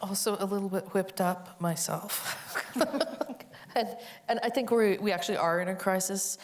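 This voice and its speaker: A woman's voice: mid-range voice, moderate pace, a little hiss or hum. A woman speaking as a journalist in a panel discussion, making her points in unscripted remarks.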